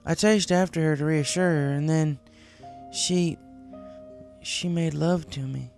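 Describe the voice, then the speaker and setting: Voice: medium pitch, steady tone, unhurried, creaky, darkish tone, soft background music, pitched above the performer's own voice. A performer voicing an earnest, troubled young female farm pony in a story reading. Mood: confessing, tender.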